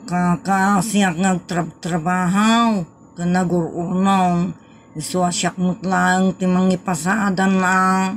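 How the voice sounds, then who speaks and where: Babai nga agak-akting a nagaget a drayber, nainayad. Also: female voice, lowish voice, level tone, takes it slowly, a little hiss or hum. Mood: matter-of-fact. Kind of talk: Ilocano comedy audio drama.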